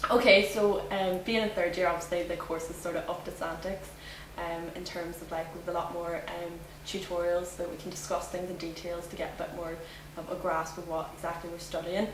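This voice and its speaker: A woman's voice: fairly low pitch, level tone, brisk, few pauses, distant mic. Chatty young Northern Irish woman. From Northern Ireland. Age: young adult. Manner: chatty, laughs often, many fillers. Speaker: student interviewee. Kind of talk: casual interview answers. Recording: echoey room, from afar, noisy.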